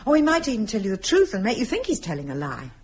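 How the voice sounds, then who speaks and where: Husky, troubled wife, swooping intonation. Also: female voice, on the high side, huge pitch swings, fast talker, heavily acted. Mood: wry. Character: anxious, troubled wife confiding fears to a friend. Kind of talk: radio play acting.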